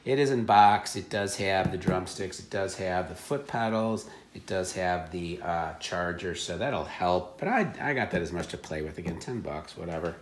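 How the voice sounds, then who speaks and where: Low-pitched man chatting offhandedly, some noise. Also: animated, moderate pace, hardly any pauses, echoey room. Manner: offhand, wry, joking. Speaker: reseller showing secondhand finds. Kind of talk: casual chat.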